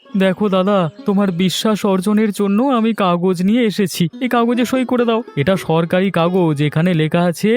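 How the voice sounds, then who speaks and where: A man's voice: high voice, darkish tone, lightly acted. A man voicing a cunning, greedy village fish trader in a cartoon story, falsely friendly and pushy in his persuasion. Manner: persuasive, pushy, smooth-talking. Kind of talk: Bengali cartoon story voice acting. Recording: music bed under the voice.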